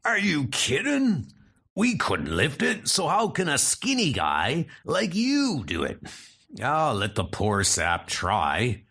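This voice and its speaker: Casting: man as regular-guy bystander, mocking and slangy, husky, very animated, comic-dub style. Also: fairly high pitch, takes it slowly, heavily acted. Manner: colloquial, wisecracking. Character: baffled, mocking ordinary mortal men, bystanders. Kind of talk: comic book dub acting.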